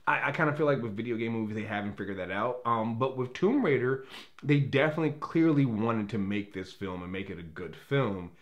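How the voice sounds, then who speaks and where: A man's voice: mid-range voice, a little fast. A man talking casually as an opinionated movie reviewer, blunt and rambling, often repeating words as he searches for phrasing.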